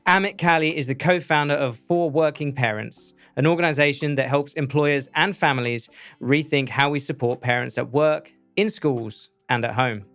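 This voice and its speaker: A man hosting a podcast, reading a scripted intro in a measured, earnest way before interviewing his guest. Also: on the high side, a bit bright, soft background music. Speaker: podcast host.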